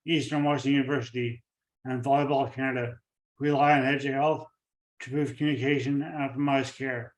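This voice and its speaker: A man hosting an interview podcast, talking in a halting way with many fillers and restarts. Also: mid-range voice. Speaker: podcast host. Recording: echoey room, far from the mic.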